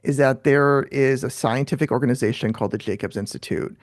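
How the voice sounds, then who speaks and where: A middle-aged American man from New York City chatting casually as an interview guest, quick to joke at his own expense. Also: mid-range voice, dry recording, clean studio sound. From New York City, USA. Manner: fast-talking, self-deprecating joker. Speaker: guest, author and filmmaker.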